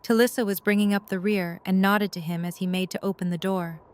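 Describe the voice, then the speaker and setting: A woman's voice: medium pitch, fairly even intonation, a little fast. A woman reading scripted story narration for an audio drama. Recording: noisy storm ambience behind.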